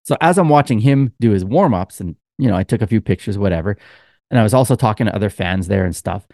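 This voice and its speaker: A man's voice: lowish voice, lively intonation, quick pace, warm tone, no room sound, studio quality. A man co-hosting a podcast, telling a long, rambling story in casual chat with lots of fillers. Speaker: podcast co-host.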